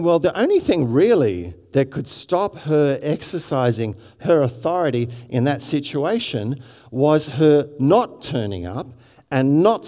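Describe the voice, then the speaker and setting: A man's voice: on the high side, warm tone, in a large hall. A man with an Australian accent preaching a sermon, chatty with many restarts and asides to the congregation. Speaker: pastor.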